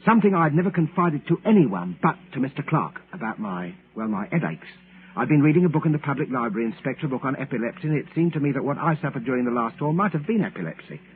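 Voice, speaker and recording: A man's voice: medium pitch, sing-song, quick pace, lightly acted. An actor playing a timid, earnest, middle-aged wrongly accused man, hesitant and fussily polite, in 1940s radio drama style. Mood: earnest, insistent. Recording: noisy old radio recording, narrow band.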